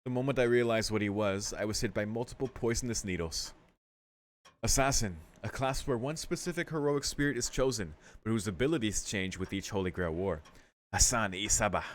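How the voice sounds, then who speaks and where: A man's voice: fairly low pitch, a little hiss or hum. A man playing a visual novel, reading its text aloud with casual, sweary commentary and muttered asides. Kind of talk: casual gaming commentary mixed with read-aloud narration.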